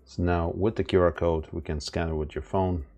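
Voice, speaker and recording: Very deep-voiced man giving a tutorial. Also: steady tone, moderate pace, warm tone, muffled recording. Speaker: product demonstrator. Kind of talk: casual step-by-step tutorial narration. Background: background music.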